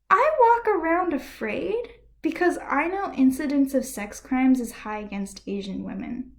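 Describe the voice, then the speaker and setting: A woman with a fairly high voice delivers heated personal commentary to camera from a room at home. She rants with earnest intensity and drops now and then into soft, breathy asides. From United States. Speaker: vlogger.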